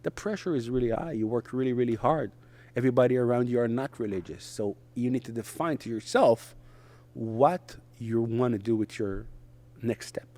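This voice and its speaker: Israeli-born man, halting short phrases. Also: mid-range voice, darkish tone. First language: Hebrew. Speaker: guest. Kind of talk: conversational interview.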